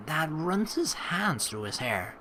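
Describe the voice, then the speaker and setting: A man's voice: a little high, very animated, takes it slowly, pushed and bright, slight background noise. A young man hosting a let's play, reading game narration aloud and adding casual commentary.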